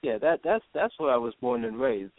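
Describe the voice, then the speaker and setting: A man from Jamaica, a guest in an interview, talking haltingly with many restarts. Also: medium pitch, animated, no room sound. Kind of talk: casual interview talk. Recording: phone line, dry.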